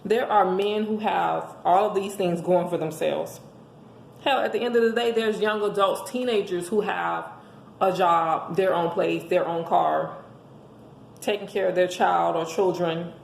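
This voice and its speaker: A hoarse woman giving an emphatic, preachy motivational monologue, speaking as a host handing out relationship advice to listeners. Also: on the low side, fairly even intonation, a little slow. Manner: emphatic, preachy, rhetorical questions. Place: indoors at home. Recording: echoey room with faint background noise.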